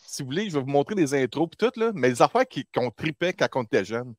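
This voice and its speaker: A man's voice: on the high side, very animated, quick pace, no echo, studio quality. A man with a Quebec French accent hosting a casual chat in an informal, enthusiastic way. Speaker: host.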